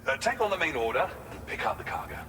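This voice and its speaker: An actor playing a friendly, eager research assistant in a video game, talking in a chipper, informal way. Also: male voice, on the high side, big pitch swings, fast talker, pushed and bright, big-room sound. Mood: cheerful, helpful. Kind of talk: video game cutscene dialogue.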